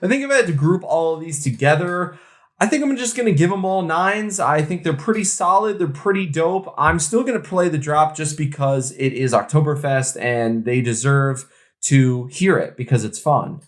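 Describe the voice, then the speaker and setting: A man's voice: a little high, moderate pace. A man co-hosting a podcast, chatting casually in an enthusiastic, slangy way. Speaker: co-host of a craft beer podcast.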